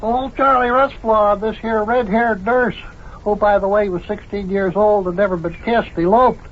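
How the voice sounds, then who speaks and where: An actor playing a folksy, rambling tall-tale spinner and joker in an old-time radio comedy. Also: male voice, high voice, steady tone, normal speed. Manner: drawling, rambling, folksy ungrammatical speech. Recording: dull, lo-fi old radio recording.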